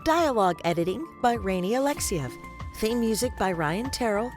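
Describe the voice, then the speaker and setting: A woman's voice: medium pitch, lively intonation, normal speed. A woman announcer reading a scripted podcast outro and credits. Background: loud music.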